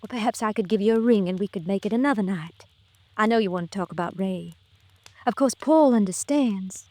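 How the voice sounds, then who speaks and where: A woman's voice: medium pitch, a little fast, warm tone, no room sound, close to an everyday voice. A woman playing a gracious, composed lady in love in a 1950s radio drama, polite and earnest.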